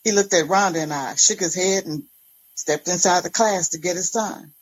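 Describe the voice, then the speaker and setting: A woman's voice: lowish voice, normal speed, slight background noise, poor audio. A woman reading a first-person dramatic story as its narrator.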